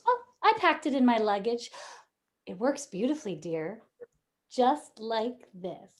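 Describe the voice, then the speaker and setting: A woman's voice: a little high, sing-song, a little slow. A woman playing a coy, calculating, murderous young bride in a vintage-style radio play. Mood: sweetly menacing. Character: coy, greedy, murderous young bride, sly femme fatale.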